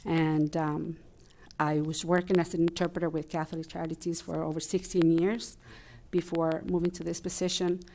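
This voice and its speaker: A woman's voice: deep voice, level tone, normal speed, warm tone. Chilean woman. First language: Spanish. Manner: candid, hesitant with fillers. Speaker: family liaison and interpreter. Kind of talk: personal story at a meeting.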